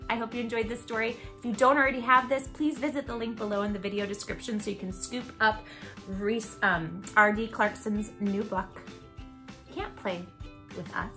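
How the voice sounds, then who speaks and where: Bright-voiced woman reading to children. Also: medium pitch. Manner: expressive, asks the listening children questions. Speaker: children's read-aloud host. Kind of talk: animated storybook narration with asides to children.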